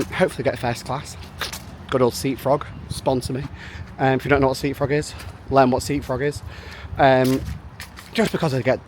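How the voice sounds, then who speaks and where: Manchester guy vlogging, bit higher voice, rambly, loads of ums and likes. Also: slight background noise. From Manchester, England. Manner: chatty and rambling, heavy on "um" and "like". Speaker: business founder vlogger. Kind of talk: casual vlog chat.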